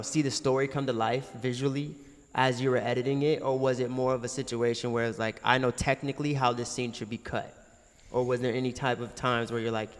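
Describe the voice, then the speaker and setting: A man hosting a podcast interview, asking long, rambling questions in a casual way. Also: medium pitch. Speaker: podcast host. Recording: long reverb on voice.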